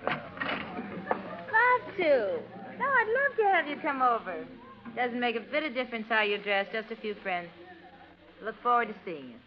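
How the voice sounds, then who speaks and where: An American actress playing a flirtatious, self-willed party hostess in a 1950s radio drama. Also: female voice, fairly high pitch, sing-song, measured pace, long pauses, noisy background. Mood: coy, light. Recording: dull, lo-fi old radio recording.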